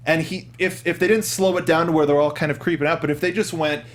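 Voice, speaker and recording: A young man hosts a casual panel talk about movies. His voice sits a little high for a man. He speaks informally, swearing freely, into a studio microphone. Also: steady tone, quick pace. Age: young adult. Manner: casual, profane. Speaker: podcast host. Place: small indoor studio. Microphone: studio mic on desk, headset headphones.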